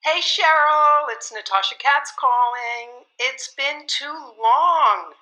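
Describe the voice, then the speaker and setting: Friendly female caller for a voicemail, bright and a touch high. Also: slow, rough recording. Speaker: caller leaving a message.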